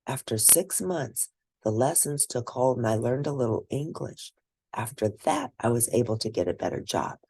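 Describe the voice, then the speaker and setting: A woman reading a scripted first-person story aloud, expressive and deliberate, with a husky, gravelly voice. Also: rumbling low, a little hiss or hum. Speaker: read-aloud narrator.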